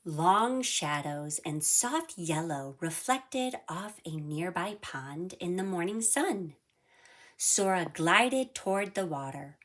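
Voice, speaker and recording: A woman narrating a children's storybook in a deliberate, animated read-aloud style. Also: on the low side. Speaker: storybook reader.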